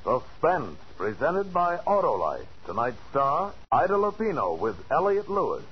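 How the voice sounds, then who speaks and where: An American man works as a 1940s radio announcer, polished and emphatic. His voice is on the high side and very lively in pitch. The old broadcast is dull, with some music. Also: unhurried.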